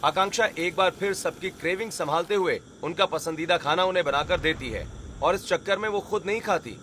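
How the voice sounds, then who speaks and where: Bright, forceful male story narrator. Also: high voice, fairly quick, a little hiss or hum. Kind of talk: scripted story narration in Hindi.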